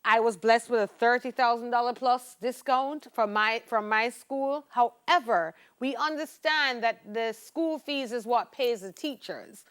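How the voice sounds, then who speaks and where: A woman's voice: a little high, a little slow, hardly any pauses, a bit bright, clear, resonant tone. A Jamaican woman hosting a morning show, asking questions in a chatty, direct way. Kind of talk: conversational interviewing.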